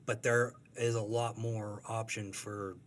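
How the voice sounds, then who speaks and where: Lowish male voice, casual guest chat, into it but kinda hesitant explaining, background noise. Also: level tone, takes it slowly. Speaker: cartoonist guest.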